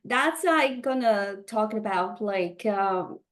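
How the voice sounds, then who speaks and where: A woman taking part as a guest coach on a video call, speaking slowly and haltingly, with fillers and light laughs. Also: medium pitch, expressive, a bit bright. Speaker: money mindset coach. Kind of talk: guest interview. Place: Vancouver, Canada.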